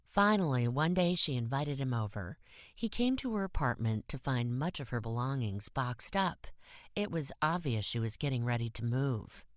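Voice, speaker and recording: A woman's voice: rumbling low, big pitch swings, moderate pace, no room sound, studio quality. A woman reading a short story as an audiobook narrator in a scripted style.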